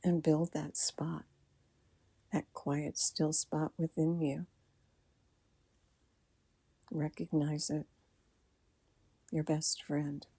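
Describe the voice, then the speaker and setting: A woman guiding a breathing meditation, speaking softly and soothingly with long pauses. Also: low-pitched, little pitch movement, breathy edge. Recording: music playing behind the voice. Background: soft background music.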